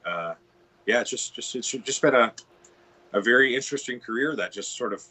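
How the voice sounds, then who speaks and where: A man's voice: medium pitch, normal speed, pushed and bright, slightly rough. A middle-aged Canadian man from Ontario, chatting as a guitar tech guest in a casual interview, rambling with many restarts and "you know"s.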